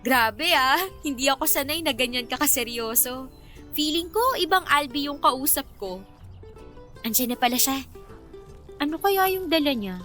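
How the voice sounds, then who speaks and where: Actress playing a bright, high-voiced feisty young Filipina. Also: female voice, animated, moderate pace, pauses often, soft background music. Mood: teasing. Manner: brash, playful banter. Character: feisty, teasing young Filipino woman in love. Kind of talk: radio romance drama acting.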